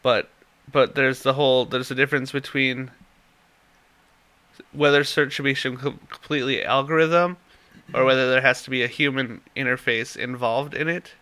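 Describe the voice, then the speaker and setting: A man's voice: mid-range voice, steady tone, normal speed, sharp tone. A young man with a stuffy nose, co-hosting a casual tech chat and rambling as he loses his train of thought. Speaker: podcast co-host.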